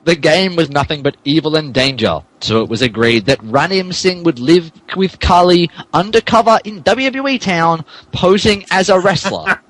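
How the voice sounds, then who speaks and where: A man's voice: on the high side, lively intonation, moderate pace, hardly any pauses, fairly bright tone, low-quality recording. A man narrating a comic dramatic reading of fan fiction in a hammy way, stumbling over words. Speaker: narrator, podcast reader.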